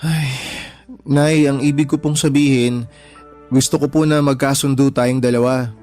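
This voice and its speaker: Guy playing humble young son-in-law in a radio drama, medium pitch, polite n pleading, soft music behind. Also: steady tone, moderate pace, lightly acted. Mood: earnest. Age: young adult. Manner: respectful, pleading, earnest.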